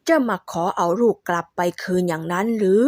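A gruff old mother bear from a storybook scolds in a low, reproachful voice, as a woman acts her out. The recording is dry and close, with no room around it. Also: brisk, darkish tone, no room sound, close to an everyday voice. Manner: scolding, indignant. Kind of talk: storybook voice acting.

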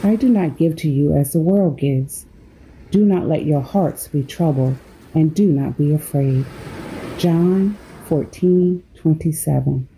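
Low, slow, mellow woman guiding sleep meditation. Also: a little hiss or hum, poor audio. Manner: unhurried, measured delivery with pauses between phrases. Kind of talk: guided sleep meditation with scripture reading.

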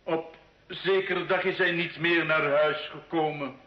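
Male actor as humble peasant father, slow measured testimony, slightly high pitch, old radio drama. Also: steady tone, a little hiss or hum, natural voice. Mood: sorrowful, humble. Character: humble father testifying about his missing son. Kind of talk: old Dutch-language radio play acting. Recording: dull, echoey old radio recording.

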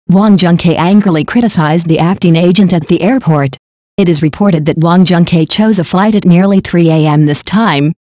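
Steady, dry text-to-speech news voice. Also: mid-range voice, no room sound. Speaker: news narrator. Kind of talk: scripted news narration.

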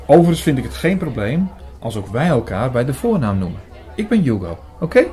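An actor plays an earnest male priest telling his own story in an audio drama. His voice sits in a middle register. He is reflective and measured, with a shy, hesitant touch when he converses. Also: mid-range voice, sing-song, dark tone, a faint crowd, lightly acted. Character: earnest priest narrator, shy and secretly smitten. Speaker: narrator and lead in an audio drama. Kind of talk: dramatised first-person audio-drama narration.

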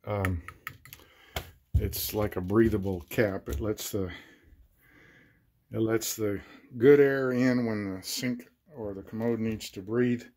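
A man's voice: lowish voice, mellow, a little hiss or hum. A man in his sixties, a DIY vlogger, talks plainly and unhurriedly with many fillers while he walks through his work in an unfinished barn room. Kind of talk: casual work narration.

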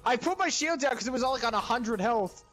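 An excitable male gamer calling out over a headset. His voice is very high and swoops widely as he yelps and curses through the match, with faint music under it. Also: fairly quick. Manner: excitable, exclaiming. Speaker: gamer in voice chat. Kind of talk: casual game chat.

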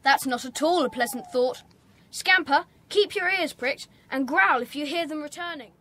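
A woman's voice: high-pitched, normal speed, sharp tone, slight background noise. A woman playing a sensible, bossy schoolboy gang leader with an English accent in a children's audio drama. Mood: earnest, sensible.

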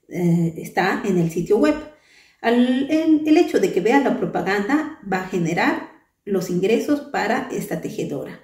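Mellow-voiced woman explaining in an echoey room. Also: mid-range voice, recorded from a distance. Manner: patient, explanatory, with frequent fillers and rhetorical "¿no?". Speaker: crochet channel host. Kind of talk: explanatory talk to camera. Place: small bright room indoors. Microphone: camera at a distance, head and shoulders framing. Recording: echoey room, voice a bit off-mic.

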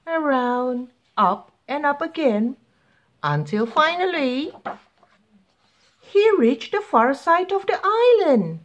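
A woman narrates a picture book for children. She reads slowly and deliberately, with very expressive, lively pitch. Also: dull audio. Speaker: children's story reader.

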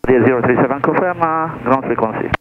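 A man's voice: mid-range voice, quick pace. A man working as a pilot, giving clipped readbacks over aviation radio. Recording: tinny, noisy aviation radio.

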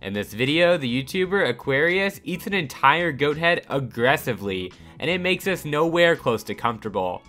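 A man reading a scripted countdown voice-over with upbeat delivery over soft background music. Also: fairly high pitch, big pitch swings, normal speed, hardly any pauses. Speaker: narrator of a countdown channel.

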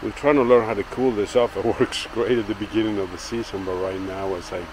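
A man's voice: fairly low pitch, fairly quick, a little hiss or hum, poor audio. A man giving a casual walking tour of his backyard garden and workshop, talking loosely with many fillers while holding the camera. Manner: rambling, filler-heavy, relaxed. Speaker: woodworking channel owner. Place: backyard garden outdoors, then indoor workshop. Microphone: handheld camera held by the speaker.

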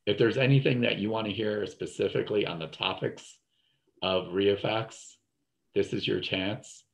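A man's voice: on the low side, steady tone, normal speed, creaky. A man with a New Jersey accent hosting a webinar over a video call, talking loosely with lots of fillers. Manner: loose, rambling, repeats fillers. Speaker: webinar host. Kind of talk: casual webinar talk.

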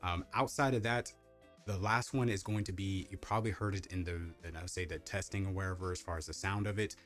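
A young man with a deep voice casually reviewing a microphone, rambling with lots of fillers and restarts, in a home streaming setup. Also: steady tone, quick pace, a bit bright, faint music behind. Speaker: tech reviewer.

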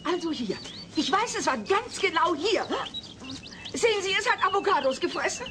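An actress playing an excitable, frightened and insistent neighbour woman in a sitcom audio drama. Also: very high voice, moderate pace. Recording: faintly noisy old cassette.

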